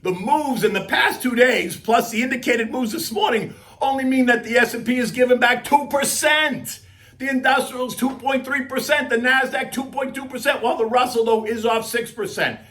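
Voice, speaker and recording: A man with a scratchy, hoarse voice giving emphatic, conversational market commentary as a host, full of rhetorical questions and folksy asides. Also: very high voice, normal speed, bright, forceful tone. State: hoarse, losing voice. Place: outdoors.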